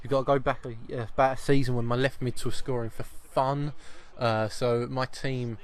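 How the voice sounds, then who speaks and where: A man, slightly croaky with a cough, giving chatty football video game commentary and often repeating his first words. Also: medium pitch, level tone, moderate pace. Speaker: gaming channel host.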